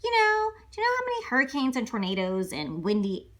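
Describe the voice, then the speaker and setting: Storybook big bad wolf, female performer: self-excusing, mock innocent, rambling, with huge pitch swoops and a bright edge. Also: wildly swooping pitch, fairly quick, exaggerated voice. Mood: animated storytelling. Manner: rambling asides, sly mock innocence, repeated words. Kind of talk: animated read-aloud children's storybook, told in character.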